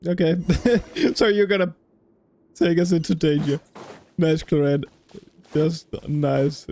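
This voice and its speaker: A male let's play streamer comments casually on a game. His voice is on the high side and very unhurried, on a slightly noisy recording. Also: creaky, mellow.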